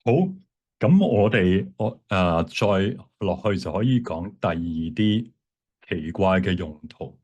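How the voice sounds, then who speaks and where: Low-voiced man giving an informal workshop. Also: slow, a little mellow. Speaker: workshop presenter, font creator. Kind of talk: informal software demonstration, explaining.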